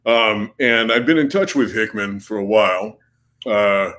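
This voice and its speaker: Low-voiced, self-deprecating male writer guest. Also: unhurried, a bit bright. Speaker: comic book writer, guest. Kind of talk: casual interview chat.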